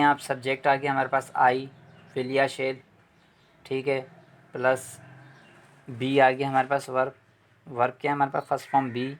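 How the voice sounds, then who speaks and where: Patient male grammar teacher. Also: medium pitch. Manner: repeats points, explains patiently with rhetorical questions. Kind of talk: explanatory lecture.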